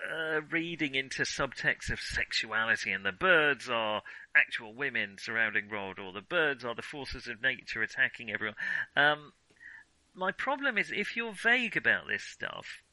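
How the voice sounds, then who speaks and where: A man's voice: medium pitch, big pitch swings, a little slow, bright, forceful tone, no room sound, rough recording. A man co-hosting a film podcast, talking casually and digressively with firm opinions.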